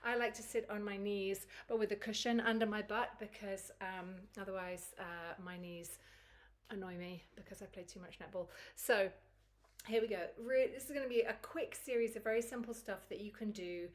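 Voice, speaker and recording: A woman with a croaky, recovering voice teaching a Kundalini yoga routine in a chatty, encouraging way. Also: mid-range voice, fairly even intonation, normal speed. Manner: chatty and encouraging, laughs easily. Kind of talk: guided yoga class instruction.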